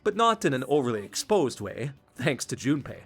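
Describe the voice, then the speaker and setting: A man's voice: medium pitch, sing-song, normal speed. A man hosting a video essay, delivering scripted commentary on a video game. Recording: music under the voice. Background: background music.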